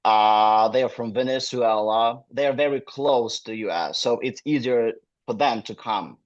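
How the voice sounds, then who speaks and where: A man's voice: mid-range voice, unhurried. Ukrainian-accented man on a dull call. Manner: non-native phrasing with frequent fillers. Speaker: guest, admissions manager. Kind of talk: casual interview talk.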